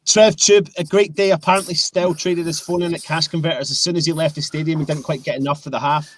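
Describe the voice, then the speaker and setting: A man's voice: a little high, fairly even intonation, fast talker, dry recording. A young Scottish man hosting a casual live fan stream, chatting and reading out viewer comments over a video call. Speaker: host.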